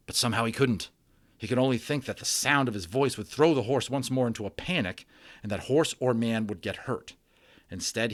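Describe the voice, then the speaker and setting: A man reading a children's book aloud as a storyteller, expressive and dramatic, with conversational explanations for listeners. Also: medium pitch, no echo. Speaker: storybook reader.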